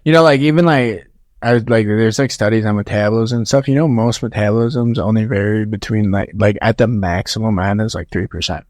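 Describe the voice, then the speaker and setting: A man's voice: fairly low pitch, brisk, darkish tone, no echo, clean studio sound. A man, a guest who promotes fight events, speaking bluntly and matter-of-factly with frequent swearing. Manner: blunt, profane, matter-of-fact. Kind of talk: casual chat.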